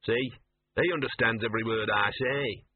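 A man's voice: medium pitch, moderate pace, a little hiss or hum, close to an everyday voice. A man voicing a cynical, streetwise talking dog in a storybook, grumbling and colloquial. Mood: disgruntled. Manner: grumbling, colloquial, world-weary. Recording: muffled radio-like narrow band, roomy.

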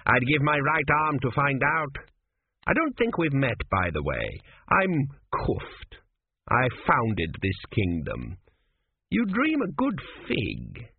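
A man's voice: medium pitch, huge pitch swings, slow, creaky, voice pushed up, full character voice. A man voicing a crude, cheeky old male ancestor who was a swindler, with a blunt, sneering manner. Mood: offhand. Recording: tinny phone-like sound, faint hiss.

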